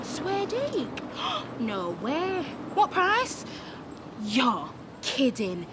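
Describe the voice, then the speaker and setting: An actress playing an excitable, gossipy saleswoman, playfully exaggerating. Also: high voice, drawn-out words, noisy background, exaggerated voice. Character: gossipy, excitable female bargain seller. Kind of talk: television soap acting.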